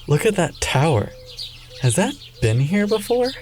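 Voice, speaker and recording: A man's voice: fairly high pitch, big pitch swings, a little slow, darkish tone, slight background noise. An actor playing a carefree, adventurous, teasing young man in a radio drama, with a laid-back, slangy manner. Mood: curious excitement.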